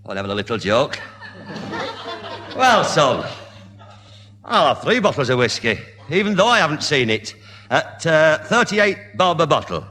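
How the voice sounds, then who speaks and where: A man's voice: fairly high pitch, big pitch swings, normal speed, pauses often, pushed and bright. An actor playing a blustering, sarcastic Northern English man in a vintage radio sitcom. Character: blustering, sarcastic grown man, sceptical neighbour. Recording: hissy 1970 radio comedy recording.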